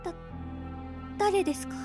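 A woman playing a flustered, sharp-tongued teenage shut-in girl in an anime-style radio drama, cutesy and stammering. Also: normal speed, over music, lightly acted. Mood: wistful, anxious.

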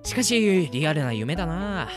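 A man's voice: on the high side, sing-song, moderate pace, over music. A man playing a slangy, cheeky, bewildered boy who mutters to himself in a radio drama. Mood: musing. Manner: casual slangy muttering to himself, exaggerated reactions. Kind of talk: hobby radio drama acting with self-talk monologue.

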